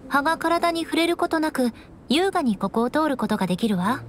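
A woman's voice: sits high, lively intonation, a little fast, a little hiss or hum. A woman playing a proud, elegant young aristocratic knight in an anime-style game, composed and instructive, strict but caring.

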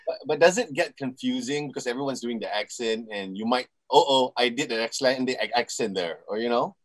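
A man's voice: mid-range voice, brisk, no echo. A man from Malaysia hosting a casual interview, friendly and enthusiastic. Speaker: radio host interviewer. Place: studio room indoors.

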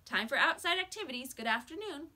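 Storybook schoolmistress, read by a woman: prim, orderly, instructive, with a lifted pitch. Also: expressive, fast, pushed and bright, exaggerated voice. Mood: brisk, instructive. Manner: instructive, formal.

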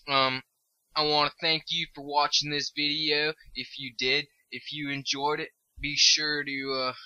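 A man's voice: a little high, sharp tone, no room sound, low-quality recording. A tired young man rambling through casual gameplay commentary, swearing freely and losing his train of thought. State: tired and stoned. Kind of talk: casual let's-play commentary.

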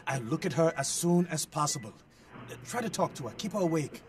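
A fairly high-pitched male hospital doctor performed by an actor, harried and officious. He speaks brusquely and formally, with authority, as a video game character. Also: lively intonation, fairly quick, a little mellow, slight background noise, close to an everyday voice. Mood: brusque, hurried.

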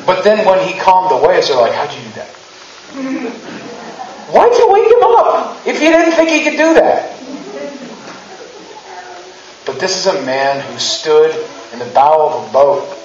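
A man's voice: fairly high pitch, wildly swooping pitch, measured pace, long pauses, a little mellow, slight background noise. Chatty man preaching in a roomy church. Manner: chatty and humorous, acting out quotes with rising emphasis. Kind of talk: conversational sermon. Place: church sanctuary with stage. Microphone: handheld mic, far camera. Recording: roomy, distant, dull church recording.

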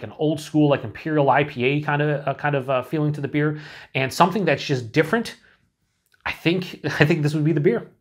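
A man in his forties, chatting casually to camera as he reviews a beer, thinking aloud with lots of fillers and restarts, in a small indoor room. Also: on the high side.

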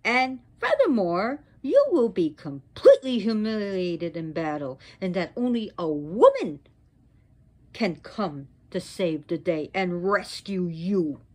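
A woman's voice: fairly high pitch, lively intonation, takes it slowly, pauses often, warm tone, slightly rough, exaggerated voice. A woman voicing a furious, imperious goddess in an oral myth telling, with an emphatic, indignant, declamatory delivery. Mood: wrathful cursing. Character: furious, imperious wronged goddess pronouncing curses. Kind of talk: oral mythological storytelling.

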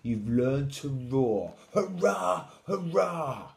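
A man voicing a family of loud, roaring lions from a storybook. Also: sits high, very animated, drawn-out words, pitched above the performer's own voice, heavily acted. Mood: proud. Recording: echoey room.

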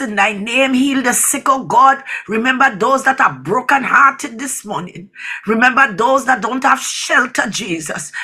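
A woman with a husky, rough-edged voice prays fervently and spontaneously, pleading and calling on God again and again. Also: a little high, sharp tone. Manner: pleading, repeatedly invoking God. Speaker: person leading prayer.

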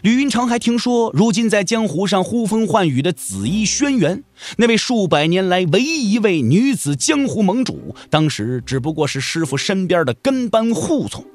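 A man narrates a martial-arts audio drama with expressive storytelling. His voice is rather high and swings widely in pitch, with music softly underneath.

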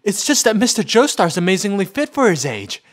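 A man's voice: sits high, very animated, fairly quick. A man acting comic dub characters in a drawling, theatrical manner.

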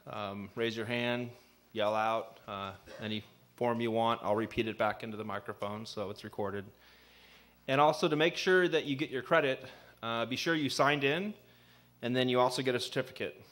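A man in his thirties leads a public ethics training as an assistant city attorney, speaking into a desk microphone in a city meeting room. His voice sits at a middling pitch and carries loud. He talks in a loose, conversational way full of fillers. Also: normal speed. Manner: says he has a loud voice. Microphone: desk microphone on a stand.

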